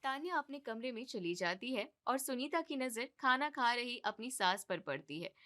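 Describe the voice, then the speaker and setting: A woman narrating a scripted cartoon moral story in a clear, even storytelling manner. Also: a little high.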